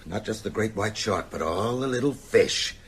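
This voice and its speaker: Commanding bedridden vampire hunter, swooping intonation. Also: male voice, fairly low pitch, expressive, moderate pace, husky. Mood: rousing, insistent. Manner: emphatic, rhetorical, oratorical delivery. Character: bedridden male vampire hunter, shrewd, commanding, urgent strategist. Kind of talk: radio drama acting.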